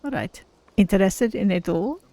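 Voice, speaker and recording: A man voicing a proud, courteous cat-person game character with formal, measured wording while streaming. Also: sits high, lively intonation, warm tone. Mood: confident, curious. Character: proud, courteous Khajiit cat-person adventurer, third-person speech. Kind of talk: in-character game role-play commentary.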